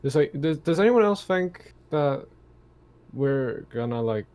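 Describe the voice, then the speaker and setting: A man's voice: fairly high pitch, lively intonation, measured pace, a little mellow. A man playing a tabletop game, talking casually in a hesitant, tentative way.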